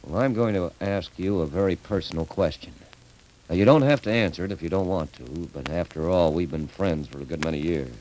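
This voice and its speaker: An actor playing a blunt, loyal old friend, earnest and concerned, in 1930s radio drama style. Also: male voice, sits low, a little fast, a little mellow, close to an everyday voice. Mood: concerned, probing. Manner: earnest, probing. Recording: faintly noisy old radio recording.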